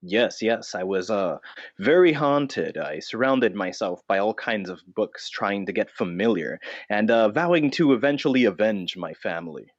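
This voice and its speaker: A man's voice: fairly low pitch, expressive, normal speed. A man playing a brooding, self-serious vigilante notebook dressed as a bat in an improvised mock interview, speaking solemnly and hesitantly and repeating himself. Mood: solemn, haunted. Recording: dull, roomy, echoing sound.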